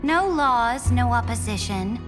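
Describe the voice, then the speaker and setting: An actress playing a proud, resolute female monarch in a game, speaking in a measured, commanding way. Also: fairly high pitch, lively intonation. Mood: regal. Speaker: game character. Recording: game cutscene with music underneath.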